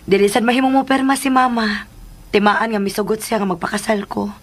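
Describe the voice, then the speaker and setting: A woman's voice: medium pitch, steady tone, brisk, lightly acted. A woman acting a respectful seventeen-year-old girl eager to marry, in a Filipino radio drama with a Filipino accent. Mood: troubled. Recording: faint background noise.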